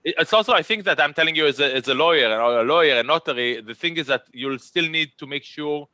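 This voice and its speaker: A man's voice: fairly high pitch, fast talker, bright, forceful tone, no room sound. A man with an Israeli accent moderating a virtual conference panel, chatty and rambling, often repeating words.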